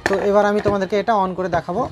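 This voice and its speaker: A woman's voice: mid-range voice, flat delivery, very fast, noisy background. A woman giving an informal hands-on repair tutorial, repeating points and often checking in with viewers. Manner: repetitive, checking in with viewers with tag questions.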